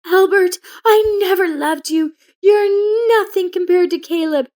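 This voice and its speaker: A defiant, scornful wife in a betrayal story, acted by a woman narrator. Her voice is very high and shrill, shouted close to tears, with hysterical contempt for her husband. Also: measured pace.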